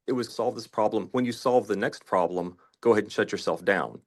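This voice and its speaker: A man's voice: on the low side, a little fast. A man arguing his points as a juror in a discussion, in an emphatic, insistent, legalistic manner. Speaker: juror in a mock trial debate. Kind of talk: argumentative discussion.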